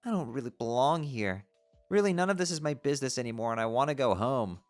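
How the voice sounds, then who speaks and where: A performer voicing a sad, despairing girl sprite who talks in a quiet, defeated way. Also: mid-range voice, lively intonation, quick pace, faint music behind, pitched below the performer's own voice, lightly acted. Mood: hopeless.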